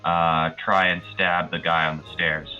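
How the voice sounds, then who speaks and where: A man's voice: low voice, flat delivery, a little slow, sharp tone, highs cut off, soft background music. A man playing a tabletop game, casually and hesitantly piecing out his move aloud.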